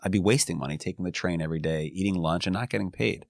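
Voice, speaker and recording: A man's voice: sits low, fast, creaky, dry recording, clean studio sound. A man speaking as a lawyer guest in an interview, earnest and reflective, often restarting his phrases. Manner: earnest, frequent restarts and "you know". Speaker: employment and labor attorney, guest.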